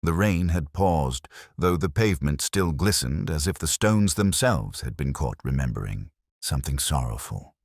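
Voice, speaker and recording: A man playing a melancholy older detective inspector, narrating case notes in a measured, reflective, literary way. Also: extremely low pitch, animated, gravelly, dry recording, studio quality, heavily acted. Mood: solemn, brooding. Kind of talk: scripted first-person literary narration.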